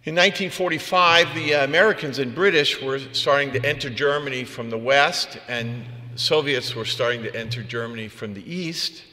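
A man's voice: medium pitch, moderate pace, hardly any pauses, sharp tone. A man preaching a homily as a priest, in a conversational, plain-spoken manner. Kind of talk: homily with personal anecdotes. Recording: long reverb of a large room.

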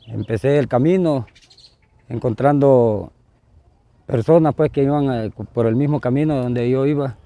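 A middle-aged man from Honduras, giving a personal testimony outdoors beside railway tracks. He talks haltingly, often repeating and restarting his words, recorded by a close handheld camera. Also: mid-range voice, mellow. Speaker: migrant interviewee.

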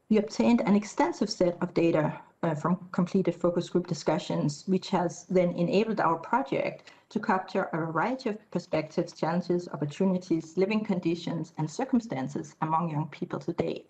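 A middle-aged woman giving a keynote over a video call, speaking in a measured way with frequent fillers. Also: fairly low pitch, a little mellow, low-quality recording. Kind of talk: conference presentation. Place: indoor room, video call.

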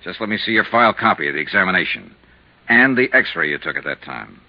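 Casting: tough American insurance sleuth, 1950s radio serial, very animated pitch, dry pressing delivery, dull vintage recording. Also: male voice, fast. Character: tough, wry freelance male insurance investigator. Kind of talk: 1950s radio detective acting.

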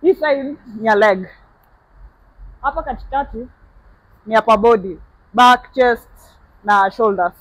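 A woman's voice: a little high, unhurried, pauses often, poor audio. A young woman with a Kenyan accent, a gym staff member, showing visitors around and pointing out equipment in a friendly, matter-of-fact way. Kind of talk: guided tour in mixed Swahili and English. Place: large indoor gym. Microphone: lapel mic.

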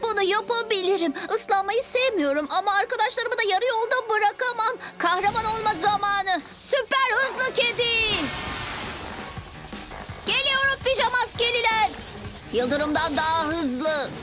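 A woman's voice: very high-pitched, normal speed. A woman voicing a brave, earnest young superhero boy in a children's cartoon dub. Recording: cartoon music behind the voice.

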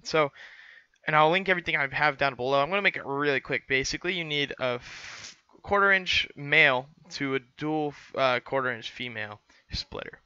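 A man's voice: fairly high pitch, brisk, bright, forceful tone, smooth, dry recording, muffled recording. A young man explaining a how-to in a casual, rambling way, full of fillers and restarts, on a headset mic in a small room. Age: young adult. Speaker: student pilot vlogger. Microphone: headset boom mic.

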